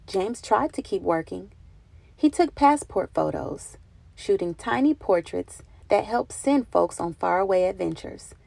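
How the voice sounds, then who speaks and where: A woman reading a picture book aloud for children, with expressive, deliberate phrasing and dramatic emphasis. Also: medium pitch, vocal fry, dry recording. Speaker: children's read-aloud narrator. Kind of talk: expressive storybook narration for children.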